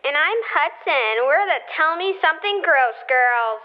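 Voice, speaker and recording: A woman's voice: high voice, expressive, measured pace. A female performer playing a mischievous young kid sharing gross-out stories on a voicemail in a radio play. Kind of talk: scripted radio play acting. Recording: dull lo-fi voicemail.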